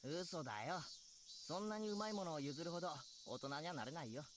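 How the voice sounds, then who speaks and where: A man playing a brash, teasing but good-hearted teenage schoolboy in a visual novel, with rough, casual boyish speech. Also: on the high side, lively intonation, rapid-fire, noisy background, natural voice. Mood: playful, generous. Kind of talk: Japanese visual novel voice acting.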